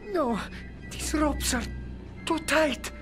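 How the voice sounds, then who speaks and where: Very high, drawn-out anxious boy. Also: animated, music in the background. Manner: panicky, pleading. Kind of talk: audio drama acting. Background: loud orchestral film score.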